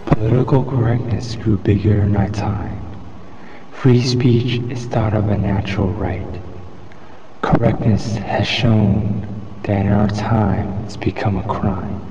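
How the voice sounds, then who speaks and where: A man reciting a poem in a solemn, measured, declamatory way. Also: fairly even intonation, a loud crowd. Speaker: poetry reader. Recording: muffled lo-fi with loud background rumble.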